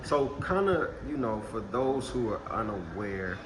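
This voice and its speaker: A man's voice: on the low side, sing-song, measured pace, a crowd in the background, low-quality recording. A man from Texas hosting a casual interview, talking in a laid-back, slangy way. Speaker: host. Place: indoors in Puerto Rico.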